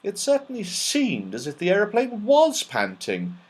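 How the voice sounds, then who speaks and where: A man narrating a children's story as an audiobook reader, in an expressive, dramatic style. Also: high voice, normal speed, breathy edge.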